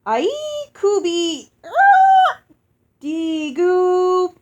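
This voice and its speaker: An excitable giantess mother from a storybook, acted out by a woman reader, pitched very high and pushed out loud. She gushes and exclaims over everyone like a delighted host, with big swoops in her delivery. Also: very slow, lo-fi sound, heavily acted. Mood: delighted greeting. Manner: gushing, exclamatory. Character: effusive, excitable giantess mother hosting a wedding.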